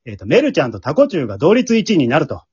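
A man with a Kansai accent hosting a casual chatty show among friends, teasing and quick to laugh, recorded remotely from home. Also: fairly high pitch, huge pitch swings, darkish tone, slightly rough, highs cut off. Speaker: leader of an amateur drama group, hosting.

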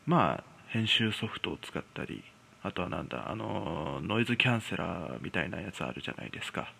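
A low-voiced man tells a ghost story as if chatting with friends. His delivery swings expressively up and down, with a creaky edge, and he hesitates conversationally as he feels his way through the tale. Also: big pitch swings, a little fast, bright, forceful tone. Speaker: storyteller host. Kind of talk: casual spoken ghost story telling.

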